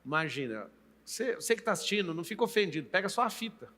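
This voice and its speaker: A man's voice: high voice, big pitch swings, fast, fairly bright tone, in a large hall. A Brazilian man in his late thirties hosting an interview, talking in an emphatic, coaching way and often turning to address the viewer. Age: late 30s. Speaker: podcast host and coach. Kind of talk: motivational interview talk.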